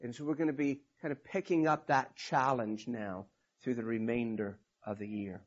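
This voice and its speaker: Raspy hoarse guy preaching, loose and chatty with lots of restarts, kinda muffled lo-fi sound. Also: medium pitch, fairly even intonation, normal speed. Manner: informal, rambling with restarts and fillers. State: hoarse from a cold. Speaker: pastor. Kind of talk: sermon.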